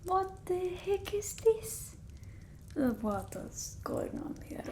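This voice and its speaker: A woman voicing an eccentric centuries-old female vampire in an ASMR roleplay, speaking softly and often whispering, close to the mic. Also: very high-pitched, big pitch swings, a little hiss or hum, exaggerated voice. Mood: suspicious, alarmed. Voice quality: soft, breathy, often whispered. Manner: halting, theatrical, with quaint phrasing. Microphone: close ASMR microphone.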